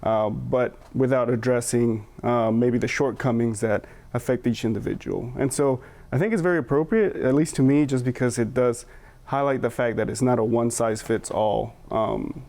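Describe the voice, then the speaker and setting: A man speaking as a panelist, thoughtful and hesitant, pausing often to find his words. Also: medium pitch, gravelly, a little mellow. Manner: thoughtful, hesitant, with many fillers and restarts. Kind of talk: reflective panel discussion.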